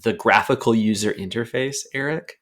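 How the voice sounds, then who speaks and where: A man's voice: mid-range voice, normal speed, a bit bright. A young man co-hosting a podcast, talking in a jokey, rambling way and laughing often. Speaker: podcast co-host. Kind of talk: casual comedic chat.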